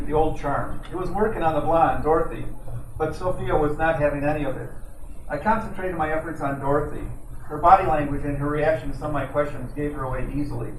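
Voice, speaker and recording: A man's voice: a little high, fairly quick, natural voice. A man playing a wisecracking, streetwise 1940s private detective in old time radio style, telling his story in slang. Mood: recounting. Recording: echoey, distant room with loud noise.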